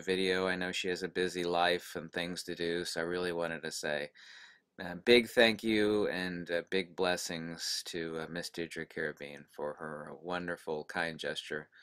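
A man's voice: low-pitched, a bit bright. An American man hosting and casually introducing a guest in an effusive, admiring manner. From United States. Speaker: video host.

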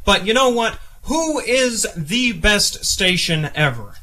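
Young man, campus radio DJ, chatty, casual links, slightly high pitch, noisy background. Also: big pitch swings, slow pace, bright, forceful tone. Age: young adult. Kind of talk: radio DJ link between songs. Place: small campus radio studio, indoors. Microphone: close studio microphone, headset monitors.

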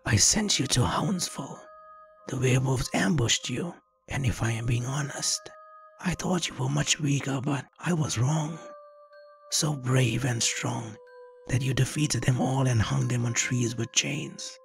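A man voicing a gloating male villain who whispers in a gravelly voice and taunts in a smug, drawn-out way. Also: mid-range voice, faint music behind, voice pushed up.

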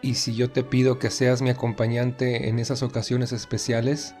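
Man acting a tender gentleman suitor over music. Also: mid-range voice, level tone, normal speed, lightly acted. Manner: tender, earnest, intimate. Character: tender, determined wealthy young gentleman in love. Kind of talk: audio drama romance.

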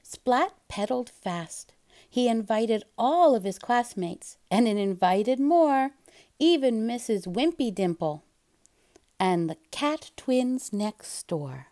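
Animated, high-voiced woman reading a storybook. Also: slow. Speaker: storybook reader. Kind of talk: expressive children's read-aloud narration.

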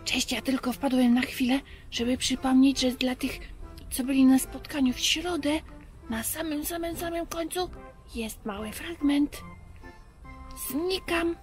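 Woman voicing a friendly, high-pitched firefighter puppet. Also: measured pace, soft background music. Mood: friendly, brief.

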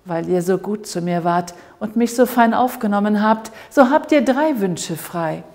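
A woman voicing a humble, solemn, benevolent wandering Lord in a fairy tale, in measured, plain words. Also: medium pitch, lively intonation, darkish tone. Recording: echoey hall with long reverb.

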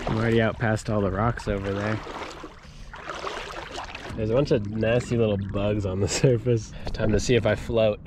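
A young man talks to his handheld camera in a loose, offhand way, thinking aloud as he goes. His voice sits a bit low. Loud outdoor ambience with wind, water and rain runs under everything. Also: level tone, normal speed, long pauses. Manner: casual, chatty, thinking aloud. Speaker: vlogger. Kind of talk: casual travel vlog narration. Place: outdoors at a campground and lake. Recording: noisy outdoor camera audio.

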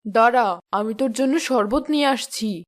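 A woman's voice: a little high, normal speed, lightly acted. A woman voicing a caring, fussing village mother in a children's cartoon. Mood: caring, surprised.

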